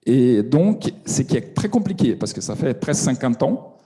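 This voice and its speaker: Middle-aged man, very dark tone, halting and full of restarts, mid pitch. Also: big pitch swings. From Brazil. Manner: hesitant, many restarts and fillers. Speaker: audience member asking a question. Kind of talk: spontaneous question from the floor.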